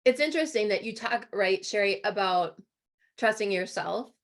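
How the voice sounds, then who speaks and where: A woman with a hoarse voice co-hosting an online interview, asking questions in a conversational, circling and halting way. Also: mid-range voice, lively intonation. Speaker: co-host and interviewer. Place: remote video call.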